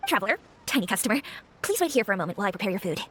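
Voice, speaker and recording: A woman's voice: medium pitch, big pitch swings, very fast, a bit bright. A woman playing a friendly, chatty neighbourhood restaurant owner in a video game, obliging and confiding in manner.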